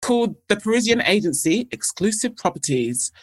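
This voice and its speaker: A woman's voice: mid-range voice, very animated, normal speed. A woman co-host giving recommendations in an enthusiastic, informal chat. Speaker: podcast co-host.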